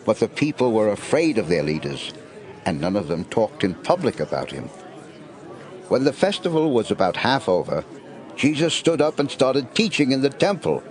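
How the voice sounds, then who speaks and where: A man's voice: medium pitch, big pitch swings, normal speed, some chatter behind. A man narrating an audio Bible drama in a scripted storytelling style.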